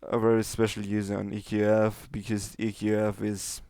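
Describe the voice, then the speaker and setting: A man's voice: lowish voice, monotone, normal speed, mellow, no room sound, studio quality. A man reading fan fiction as an amateur narrator, moving between casual talk and storytelling.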